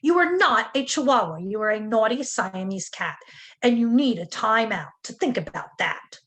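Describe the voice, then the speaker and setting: A stern mother cat scolding her naughty kitten in firm, rising tones, as a woman reads her. Her high voice swings between sharp and coaxing, like a picture book read aloud. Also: sing-song, normal speed.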